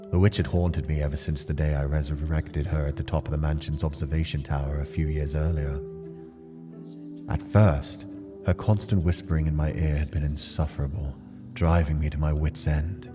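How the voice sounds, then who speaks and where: A man reading aloud in the first person as a numb, brooding young nobleman exiled to a mansion, in a measured, subdued way. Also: very deep voice, long reverb tail. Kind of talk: reading game text aloud.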